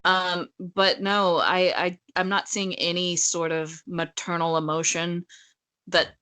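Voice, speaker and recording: A woman's voice: on the low side, steady tone, slow, sharp tone, clear, resonant tone. A woman co-hosting a casual book discussion, blunt and argumentative, often acting out quotes as she goes. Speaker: podcast co-host.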